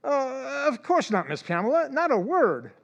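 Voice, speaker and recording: An actor playing a polite, deferential small-town garage mechanic in an old-time radio drama, hesitating with little fillers. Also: male voice, very high voice, big pitch swings, normal speed, gravelly, big-room sound, exaggerated voice. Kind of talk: 1940s radio acting.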